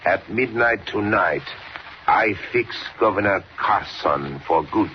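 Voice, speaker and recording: An actor playing a threatening Western gang boss with a foreign accent and broken English, in a 1940s radio drama style. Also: male voice, fairly low pitch, animated. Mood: cold menace. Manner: slow, deliberate, threatening. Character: menacing Western outlaw gang boss, adult man. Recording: dull, noisy old radio recording.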